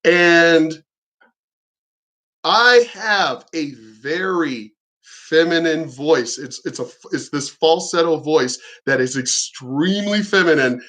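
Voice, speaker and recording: High, bright-voiced man ranting crudely. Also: takes it slowly. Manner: combative, profane, mocking. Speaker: reaction commentator. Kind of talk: ranting reaction commentary.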